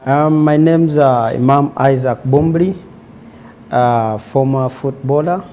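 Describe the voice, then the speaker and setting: A man's voice: medium pitch, slow pace, mellow, a little hiss or hum. A man in his twenties with a Ugandan accent, telling his personal story conversationally as an interview guest. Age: 20s. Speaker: interview guest, former footballer.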